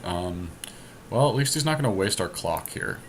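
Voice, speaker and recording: A man's voice: deep voice, lively intonation, moderate pace. A man giving casual commentary as he plays an online card game, thinking aloud. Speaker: podcast host playing an online card game. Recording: noticeable background noise, amateur screen capture.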